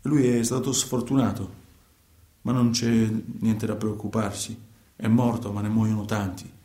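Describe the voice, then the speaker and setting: An actor playing a man who tells his own night-driving story in a halting, repetitive, colloquial radio monologue. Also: on the low side, flat delivery, warm tone, close to an everyday voice. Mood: subdued. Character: agitated male night driver telling his own story. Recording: roomy, echoing sound.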